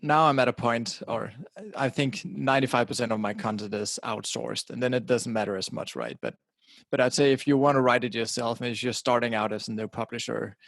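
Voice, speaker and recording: A man's voice: medium pitch, brisk, gravelly, a bit bright, dry recording. Danish man chatting casually on a podcast. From Denmark. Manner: casual, repeats words at the start of phrases, laughs now and then. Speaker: guest, website publisher. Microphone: directional podcasting mic close to mouth.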